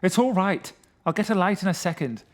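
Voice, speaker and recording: A man's voice: sits high, expressive, brisk, pitched above the performer's own voice. A man voicing an impatient, dismissive companion who brusquely reassures someone in a gothic horror story. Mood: urgent, exasperated.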